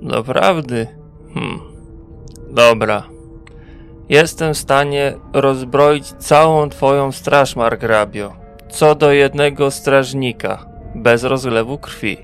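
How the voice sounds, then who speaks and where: A man playing a wary, self-assured wandering traveller in a fantasy audio drama, with a measured, dry delivery. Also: medium pitch, faint music behind. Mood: calm, sly.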